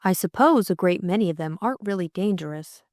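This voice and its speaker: A woman's voice: fairly low pitch, animated, fairly quick, darkish tone, dry recording, clean studio sound, natural voice. A woman voicing a spirited young upper-class lady sleuth, polite but direct and probing, in an audiobook story. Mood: polite, persistent.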